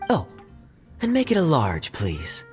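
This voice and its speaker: A smooth-talking sly charmer. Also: male voice, medium pitch, huge pitch swings, a little slow, faint music behind. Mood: teasing. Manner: smooth, teasing, playful. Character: suave teasing young man, sly charmer. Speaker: tavern customer. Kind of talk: game cutscene voice acting.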